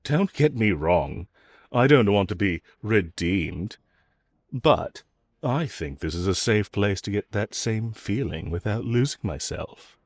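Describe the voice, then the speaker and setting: A man playing a smug, flirtatious villain in an audio roleplay, teasing and coaxing the listener with self-assured, drawn-out phrasing. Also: fairly low pitch, expressive, no room sound, full character voice. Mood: persuasive, flirty.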